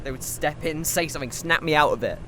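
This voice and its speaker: A man's voice: on the high side, fast talker, background noise, close to an everyday voice. An actor playing a frightened, defiant seventeen-year-old boy in an audio drama, pleading and disbelieving. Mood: pleading denial.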